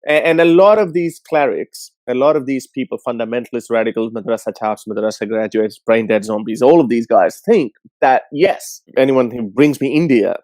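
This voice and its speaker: A man hosting a call-in show, giving casual, blunt and scornful commentary in an animated way. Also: medium pitch, normal speed, few pauses, no room sound.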